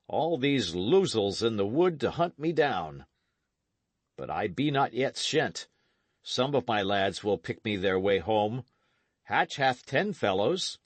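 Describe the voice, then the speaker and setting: A man voicing a gruff, wrathful old knight in an audiobook, speaking in archaic, commanding tones. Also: mid-range voice, dry recording, pitched above the performer's own voice. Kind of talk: audiobook character reading.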